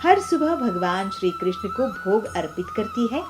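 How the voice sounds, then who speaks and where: A woman's voice: mid-range voice, big pitch swings, normal speed, over music. A woman narrating a scripted children's story.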